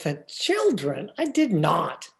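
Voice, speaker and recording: Radio sitcom husband played by a man: mild, flustered banker, halting and slow, with lively swings. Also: on the high side, vocal fry, exaggerated voice. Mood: exasperated. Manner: hesitant, exasperated. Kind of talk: old-time radio comedy acting.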